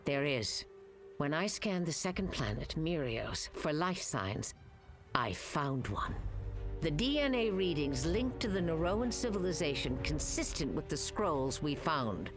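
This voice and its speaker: A woman voicing a commanding female starship captain hologram in a video game, calm and authoritative. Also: low voice, unhurried. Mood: urgent. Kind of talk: video game cutscene acting. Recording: game cutscene with loud music behind.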